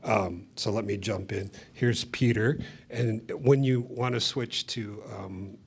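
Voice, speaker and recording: A man's voice: fairly low pitch, slow, vocal fry, a little hiss or hum. A man introducing the next speaker at an export seminar, talking conversationally with fillers and asides. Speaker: seminar moderator.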